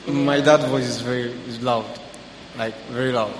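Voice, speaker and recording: A young man sharing about his family in a relaxed, halting way with fillers. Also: mid-range voice, slight background noise. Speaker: panel participant. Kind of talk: casual sharing. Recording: echoey hall with faint ambience.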